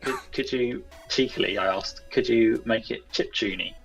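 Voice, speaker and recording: A man's voice: mid-range voice, moderate pace, gravelly, lo-fi sound, soft background music. A man chatting casually as a guest game developer in an interview.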